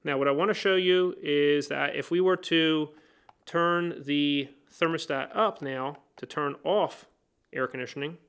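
A man with a somewhat raised, smooth and clear voice teaches new technicians at a workbench. He explains each step in plain words and links the steps with "so" and "now.". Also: fairly high pitch, measured pace, fairly bright tone. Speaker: HVAC trainer. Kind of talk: hands-on technical demonstration. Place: indoor workbench.

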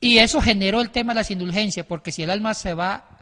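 A man's voice: high-pitched, fast talker, sharp tone. A middle-aged man on a panel explaining religious ideas didactically, often repeating and rephrasing himself mid-sentence. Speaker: panel guest explaining doctrine. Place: wood-panelled indoor studio or meeting room. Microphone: handheld microphone. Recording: very echoey room.

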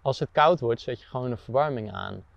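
A young Dutch man giving a relaxed, chatty tour of the camper van he lives in, with many hesitations. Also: mid-range voice, fairly quick, creaky, no room sound, muffled recording. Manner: relaxed, hesitant with many fillers, laughs often. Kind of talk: casual tour chat. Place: inside a small camper van, outdoors by it.

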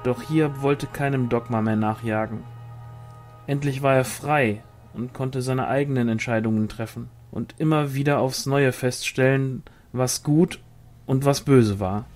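A man's voice: mid-range voice, steady tone, a little slow, darkish tone, soft background music. A man reading a novel aloud as scripted narration. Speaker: audiobook reader.

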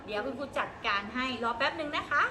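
A woman's voice: high-pitched, expressive, fast, roomy sound, noisy background. A woman preschool teacher patiently coaxing small children in a classroom to make up a story together.

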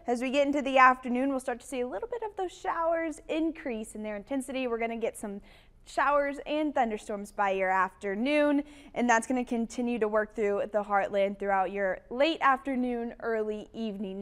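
A woman's voice: fairly high pitch, smooth. Upbeat young woman giving a TV weather forecast. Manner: brisk, upbeat forecaster delivery. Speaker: TV meteorologist. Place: TV studio in front of weather graphics.

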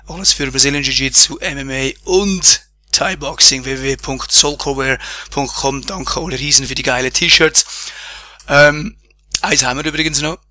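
A man hosting a podcast, slightly hoarse, relaxed and talking with many fillers and repeated words. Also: fairly high pitch. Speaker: podcast host. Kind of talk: casual interview and show outro.

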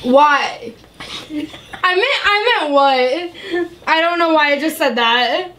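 A woman's voice: sits high, animated, slow, pushed and bright, slight background noise. A young woman chatting casually and playfully on a prank call, with a giggly manner. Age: young adult. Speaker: prank caller, YouTube vlogger. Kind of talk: casual prank phone chat.

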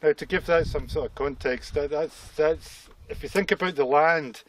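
Higher-voiced man explaining, noisy outdoor recording. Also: normal speed. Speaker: geology guide. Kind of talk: informal explanation in the field. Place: outdoors at a quarry rock face.

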